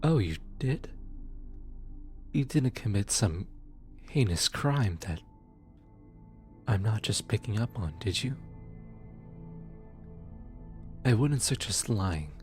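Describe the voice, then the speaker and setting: A man playing a gentle, awkward demon soul collector in an ASMR audio roleplay, speaking softly, tenderly and a little haltingly to comfort the listener. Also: lowish voice, expressive, faint music behind. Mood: calm, reassuring. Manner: hesitant, halting, tender, casual.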